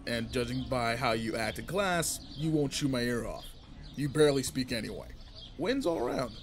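A man's voice: mid-range voice, big pitch swings, normal speed, slight background noise. A man playing a cocky, irritable teenage delinquent in a roleplay audio drama, talking casually and teasingly straight to the listener. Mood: teasing, grudging.

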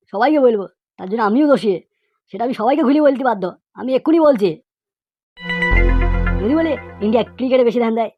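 A woman's voice: fairly high pitch, muffled recording, natural voice. A woman voicing an outspoken cartoon pupil, lecturing emphatically with repeated phrases and rhetorical questions. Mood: insistent. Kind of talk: cartoon comedy monologue.

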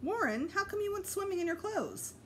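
A woman's voice: extremely high pitch, big pitch swings, a little fast, a bit bright, slight background noise, voice pushed up, heavily acted. A woman voicing an inquisitive storybook mother with a questioning lilt. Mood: curious. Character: brisk, inquisitive storybook mom.

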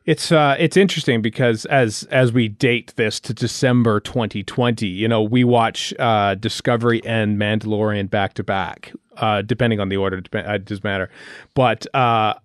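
A man's voice: lowish voice, steady tone, normal speed, dry recording, clean studio sound. A man co-hosting a podcast, chatting casually about television in a hesitant, rambling way. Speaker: podcast co-host. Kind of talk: casual TV commentary chat.

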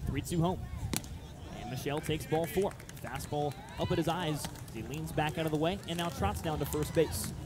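Higher-voiced man calling live baseball. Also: normal speed, noisy background. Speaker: baseball radio play-by-play announcer. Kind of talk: live baseball play-by-play commentary. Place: outdoor ballpark broadcast position.

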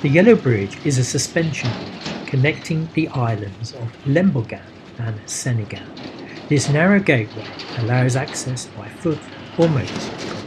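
A man giving scripted, measured travel narration as a voice-over. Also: medium pitch, lively intonation, rough recording. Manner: measured and informative. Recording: voice-over with guitar music behind.

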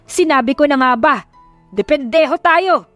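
A woman's voice: sits high, normal speed, fairly bright tone, dry recording, pitched above the performer's own voice. A threatening male gangster from a romance audiobook, put on by a woman narrator. The delivery is blunt and confrontational in a raised voice, dry, with faint music underneath.

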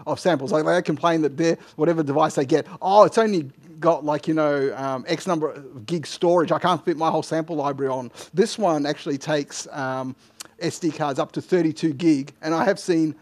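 A middle-aged man speaks at a conference in a slightly high voice. He gives informal technical answers, often stopping and restarting his phrases as he goes. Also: a little fast. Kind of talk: informal technical Q&A after a talk. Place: indoor lecture room, at a lectern. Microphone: headset microphone.

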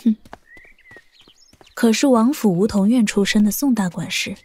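A woman's voice: medium pitch, normal speed, dark tone, husky, no room sound, close to an everyday voice. A woman playing a poised young warrior princess in an audio drama, speaking formally with a cool, probing pride.

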